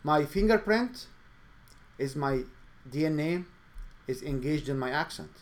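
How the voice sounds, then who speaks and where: A man's voice: on the high side, expressive, unhurried. A man hosting his own show, giving an earnest coaching talk in English with a noticeable accent and some non-native grammar. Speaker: show host and speaking coach. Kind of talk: motivational monologue.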